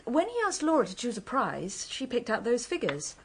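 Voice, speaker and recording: High-voiced, artless young British woman. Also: big pitch swings, normal speed, breathy edge, heavily acted. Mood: chatty, wistful. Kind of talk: BBC radio drama acting.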